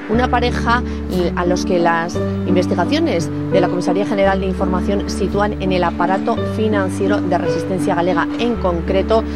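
An actress playing a field news reporter delivering a live report in a radio drama. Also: female voice, fairly low pitch, natural voice. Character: female news reporter giving live report on police operation. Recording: loud music bed under the voice.

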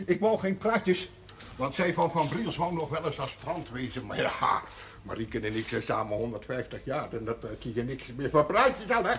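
A man's voice: medium pitch, big pitch swings, normal speed, fairly bright tone. An actor playing a quirky, proud old Dutch villager in his seventies, folksy and droll, in a television drama. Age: 70s. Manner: folksy, droll, self-assured. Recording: faint background noise, television soundtrack.

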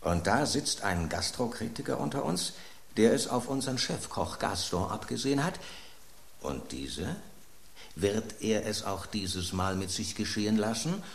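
An actor playing a gossiping loaf of bread that spreads dramatic kitchen rumours in a radio play. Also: male voice, on the low side, big pitch swings, a little slow, vocal fry, echoey room, exaggerated voice.

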